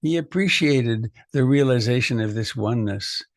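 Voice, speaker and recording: Creaky-voiced man reading poetry. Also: medium pitch, moderate pace, dry recording, studio quality. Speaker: meditation teacher reading a poem aloud.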